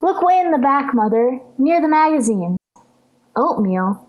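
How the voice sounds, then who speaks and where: A woman's voice: high voice, big pitch swings, a little slow, muffled recording. A woman playing a dramatic, cheeky little schoolgirl daughter in a 1940s radio sitcom. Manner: whiny, overdramatic.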